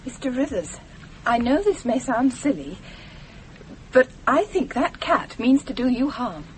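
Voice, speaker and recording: A woman's voice: fairly high pitch, big pitch swings, measured pace. An actress playing a determined, touchy, perceptive woman in a radio play. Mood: earnest, wary. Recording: noisy old radio drama recording.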